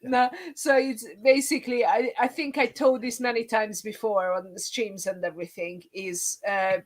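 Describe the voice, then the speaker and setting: A woman's voice: on the high side, fairly even intonation, normal speed. A woman in her thirties with a Hungarian accent, chatting casually as a podcast guest. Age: 30s. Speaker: podcast guest and toy collector. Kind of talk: casual group chat.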